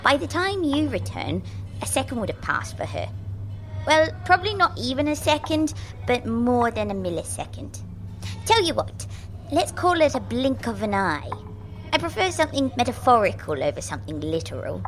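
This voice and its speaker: An ethereal female spirit , acted by a woman, chats away in an audio drama with breezy, wry reassurance. The voice is fairly high and swings widely in pitch, with faint music underneath. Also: very animated, normal speed, poor audio. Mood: breezy, reassuring. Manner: flippant, wry, chatty.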